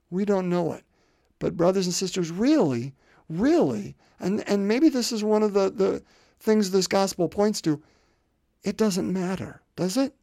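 A man in his fifties gives a sermon, with heavy gravel in his fairly high voice. He speaks conversationally, often repeats words and keeps asking the congregation rhetorical questions. The recording is close and dry. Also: darkish tone, dry recording. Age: 50s. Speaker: pastor.

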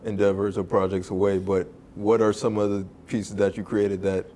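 Man, podcast host, lowish voice, joking, informal, rambling questions. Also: level tone, moderate pace, dark tone, slight background noise. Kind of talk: casual interview chat.

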